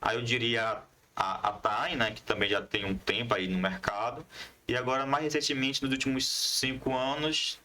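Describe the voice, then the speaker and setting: A man's voice: mid-range voice, normal speed, pushed and bright, slight background noise. A man with a northern Brazilian accent explaining things as a podcast guest in casual conversation, often adding little tags to check agreement. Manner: explanatory, repeats "né" as a tag. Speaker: podcast guest, comics collective member.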